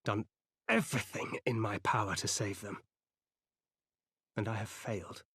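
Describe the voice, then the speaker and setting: An actor playing a stern, world-weary warrior in a video game, speaking in a blunt, grim manner. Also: male voice, fairly low pitch, a little slow, a bit bright, breathy edge, no room sound, studio quality, exaggerated voice. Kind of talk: dramatic video game cutscene acting.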